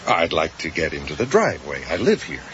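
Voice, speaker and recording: A man's voice: deep voice, huge pitch swings, a little fast, fairly bright tone, slight background noise, exaggerated voice. A man acting in a radio drama as an irritable, weary commuter, grumbling and sarcastic, on a muffled broadcast. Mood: annoyed, confused. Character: irritable, weary male commuter griping to himself.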